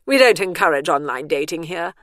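A woman's voice: on the low side, moderate pace, no room sound, clean studio sound, natural voice. A woman doing a stern, prudish matron. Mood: prim. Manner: stern, prim.

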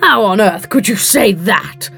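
A woman voicing a gruff, boozy male adventurer in his forties, pompous and belligerent, in an audiobook story. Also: mid-range voice, animated, moderate pace, music in the background, natural voice. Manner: blustering, old-fashioned upper-class phrasing.

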